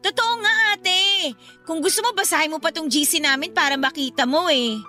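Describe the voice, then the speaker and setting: A Filipino actress playing a whiny, cheeky eighteen-year-old younger sister who makes excuses in a radio drama. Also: female voice, sits high, moderate pace, sharp tone, faint music behind. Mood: cheeky, defensive.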